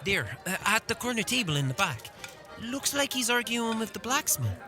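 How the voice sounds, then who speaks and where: An actress playing a determined, protective older sister, a young villager speaking earnestly in a fantasy audio drama. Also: female voice, a little high, normal speed, over music. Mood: curious. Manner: earnest, expressive.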